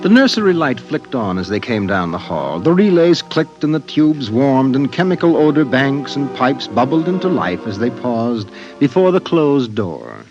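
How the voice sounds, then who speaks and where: An actor as a grave, poetic storyteller narrating an old-time science-fiction radio drama. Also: male voice, medium pitch, big pitch swings, moderate pace, a little mellow, muffled recording. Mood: ominous, vivid. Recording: music behind the narration. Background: music.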